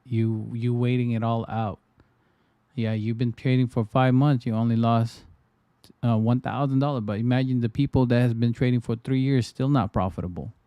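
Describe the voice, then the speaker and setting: A man's voice: medium pitch, steady tone, moderate pace, smooth, no room sound, highs cut off. A man from the Philippines casually chatting on his livestream, rambling with frequent restarts and fillers. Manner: loose, repetitive, lots of restarts and fillers. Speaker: trading livestreamer.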